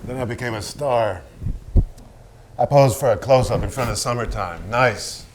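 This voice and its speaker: An actor in a staged reading playing a brash, vulgar and troubled celebrated painter, with a raw, profane, halting delivery. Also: male voice, mid-range voice, background noise, lightly acted. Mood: cocky, boastful. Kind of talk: theatrical staged reading, dramatic monologue.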